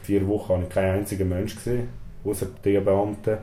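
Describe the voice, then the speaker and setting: A young man in his twenties speaks with a Swiss German accent, his first language Serbian. His voice is low and very dark, muffled and mellow. He hesitates often, filling gaps and ending thoughts with questioning tags, in an echoey small room with faint noise behind. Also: flat delivery, fast talker. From Switzerland. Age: 20s. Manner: hesitant, many "äh" fillers and tag "oder?". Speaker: prison inmate interviewee. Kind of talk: interview answers. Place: small storeroom in a prison.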